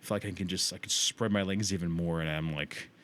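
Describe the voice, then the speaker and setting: Low-pitched man rambling on a livestream. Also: steady tone, fast talker, a bit bright, slight background noise. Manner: rambling, slangy, frequent swearing. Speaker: livestream host and gamer. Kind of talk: casual livestream chat.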